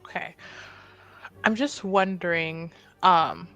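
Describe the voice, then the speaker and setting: A woman's voice: fairly low pitch, slow pace, a bit bright, soft background music. A woman playing a tabletop game, asking tentative questions in casual chat.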